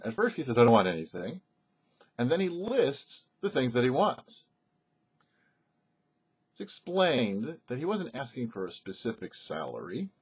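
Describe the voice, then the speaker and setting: Husky man teaching Torah, lively intonation. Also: medium pitch, dull audio. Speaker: rabbi presenting a weekly Torah portion show. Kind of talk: religious teaching, scripted-sounding Torah commentary.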